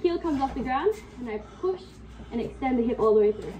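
High-voiced woman coaching kicks, sniffly. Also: animated, warm tone. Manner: coaching cues, counting time, brisk commands. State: sniffly from a cold. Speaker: fitness instructor. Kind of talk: live kickboxing class instruction. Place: home workout room. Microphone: far camera. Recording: distant camera mic in a room with faint noise.

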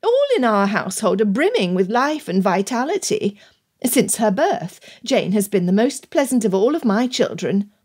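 A woman voicing a fussy, indignant middle-aged Regency gentlewoman who scolds and sniffs, in an audiobook story. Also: medium pitch, sing-song, normal speed, breathy edge. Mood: boastful.

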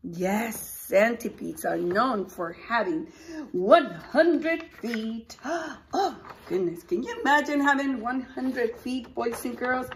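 A woman in her forties reads a picture book to young children as their teacher. Her voice sits fairly high and swings widely in pitch. She takes her time, speaking slowly and exclaiming expressively as she puts questions to them. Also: lively intonation, a little hiss or hum. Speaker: preschool teacher. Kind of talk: animated children's picture-book read-aloud. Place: small indoor room with posters.

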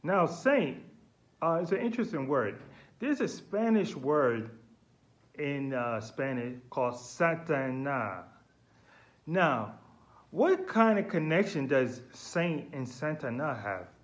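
A man around forty speaking in a casual, probing way, halting and deliberate, recorded on a phone indoors. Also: a little high, big pitch swings. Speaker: vlogger questioning a character on the phone. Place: small indoor room with sloped wooden ceiling. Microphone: phone at arm's length.

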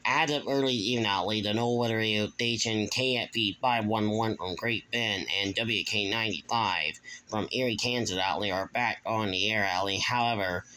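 A man giving a casual, halting, repetitive show-and-tell narration into a handheld phone in a room at home. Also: lowish voice, pushed and bright, rough recording. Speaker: video host.

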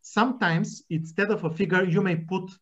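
A man's voice: high voice, fairly even intonation, normal speed, a bit bright. A man, a journal editor on a video call giving advice at an academic workshop, with many hesitations and restarts. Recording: muffled video call audio.

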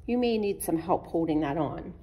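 A woman's voice: lowish voice, lively intonation, normal speed, dull audio. A woman giving patient, simple step-by-step craft instructions for children, as a children's librarian would. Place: indoors at a wooden table.

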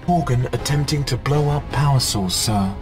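A man's voice: medium pitch, moderate pace, over music, natural voice. A man voicing a dutiful computer butler in a video game, formal and deferential. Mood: composed, dutiful.

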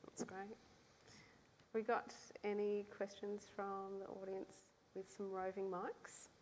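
A woman's voice: medium pitch, flat delivery, slow, a little mellow, a little hiss or hum, low-quality recording. A woman moderating a stage panel, giving brief encouraging replies and taking questions from the audience.

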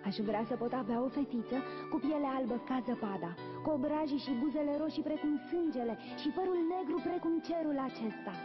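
A woman voicing a gentle, dreamy young queen in a cartoon, tender and wistful. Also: high voice, hardly any pauses, close to an everyday voice. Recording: muffled soundtrack under loud music.

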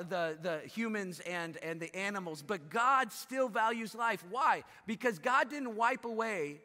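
A pastor in his thirties preaches from a big church stage, pushing his voice up and pulling it down hard to stress a point. His tone is bright and a little high for a man, and the hall answers with a long tail of reverb. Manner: animated preaching, voice rising and dropping for emphasis. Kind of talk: sermon.

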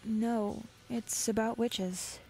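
June, slow-talking deadpan cybergoth girl played by a woman. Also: on the high side, vocal fry, soft background music, full character voice, poor audio. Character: June, laid-back, stubborn, teasing cybergoth young woman. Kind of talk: ASMR roleplay acting.

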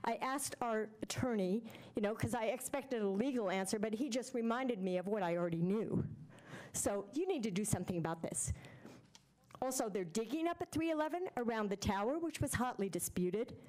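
A middle-aged woman speaks at a council podium microphone, her voice sitting somewhat high. She is blunt and exasperated as she makes her public comment. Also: expressive, fairly quick, a little mellow. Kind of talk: public comment at a city meeting. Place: council chamber.

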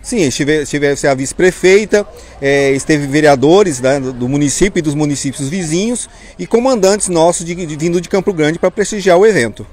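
A man in his forties with a Brazilian accent, a firefighter officer answering an interviewer haltingly, repeating words as he goes. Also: on the high side, darkish tone. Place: outdoors in front of a fire station. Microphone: small mic held at chest level by interviewer. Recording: outdoor street ambience noise.

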